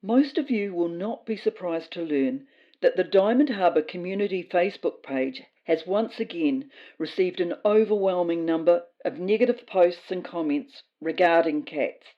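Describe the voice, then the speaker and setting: A woman playing a self-important female cat who delivers rules in a formal, measured official-briefing manner for a comedy podcast. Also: fairly low pitch, muffled recording, close to an everyday voice. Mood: solemn, official. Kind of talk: scripted comedy fiction podcast.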